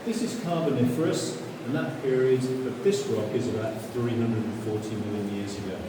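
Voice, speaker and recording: A male tour guide talks a group through a limestone cave in a chatty, explaining way and keeps putting questions to the visitors. His mid-pitched voice reaches a camera some way off and rings around the stone with a long, cavernous echo. A steady hiss of noise sits under the whole recording. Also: moderate pace. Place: inside a limestone show cave. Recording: echoey cave, distant camera mic, noisy.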